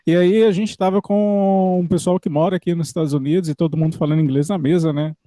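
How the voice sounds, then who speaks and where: A man's voice: sits high, steady tone, quick pace, dark tone, no echo, clean studio sound. A man from Brazil hosting a podcast in a casual interview, chatty and informal. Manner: chatty, informal, uses "cara". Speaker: podcast host.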